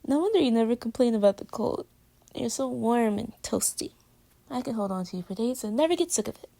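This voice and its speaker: A woman's voice: on the high side, expressive, brisk, gravelly, mellow. A woman playing a playful, affectionate girlfriend in a casual, intimate pillow-talk roleplay, teasing her partner. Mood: cozy, affectionate. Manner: casual, teasing, conversational with giggles. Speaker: audio roleplay voice actor.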